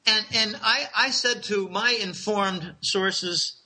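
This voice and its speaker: A man's voice: high voice, takes it slowly, bright, forceful tone, low-quality recording. A middle-aged man casually telling rambling, conspiratorial stories, chuckling and restarting his sentences.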